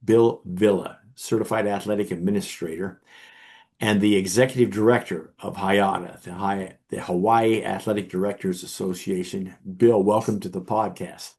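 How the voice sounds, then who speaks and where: A man's voice: low voice, fairly even intonation, moderate pace, a little mellow. A man hosting a podcast, introducing his guest and prompting him with short questions. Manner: brief interjections and prompting questions. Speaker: podcast host. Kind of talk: interview hosting.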